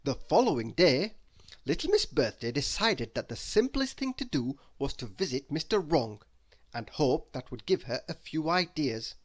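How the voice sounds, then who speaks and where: Animated children's storybook reader. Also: mid-range voice, moderate pace. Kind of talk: children's read-aloud narration.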